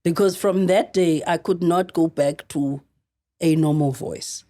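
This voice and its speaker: A South African woman in middle age, guest on a podcast, speaks in a lowish, mellow voice. She is earnest and halting, often breaking off and restarting as she tells her story. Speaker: actress guest.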